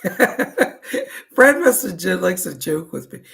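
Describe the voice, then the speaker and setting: A man with a raspy voice, a psychic medium giving live readings in a soothing, reassuring, chatty way. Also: high voice, big pitch swings, slow, fairly bright tone.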